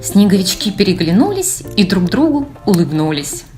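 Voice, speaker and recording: A woman reading her own children's fairy tale in verse with an expressive, sing-song delivery. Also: mid-range voice, moderate pace, soft background music. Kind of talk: scripted children's storytelling in verse.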